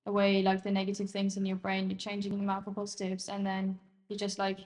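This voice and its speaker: Casting: young woman, Norwegian native, mid-pitched, sincere and emphatic, casual guest. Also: monotone, brisk, a little mellow. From Norway. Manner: earnest, emphatic, filler "like". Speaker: guest sharing her experience. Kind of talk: casual interview chat.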